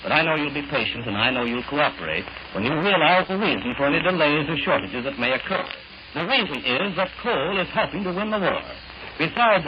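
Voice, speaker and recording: Lively 1940s male announcer, noisy old recording. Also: on the high side, muffled recording. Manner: formal, explanatory address to the audience. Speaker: radio announcer. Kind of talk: 1940s radio sponsor announcement, scripted.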